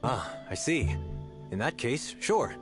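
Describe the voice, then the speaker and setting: A man's voice: mid-range voice, big pitch swings, takes it slowly. A man voicing a polite, hospitable innkeeper in a video game. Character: courteous male innkeeper, polite. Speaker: game character. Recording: game audio under music.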